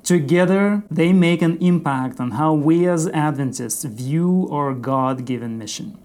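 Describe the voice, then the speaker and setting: A man hosting an interview podcast in a formal, scripted style, measured and courteous. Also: on the high side, darkish tone.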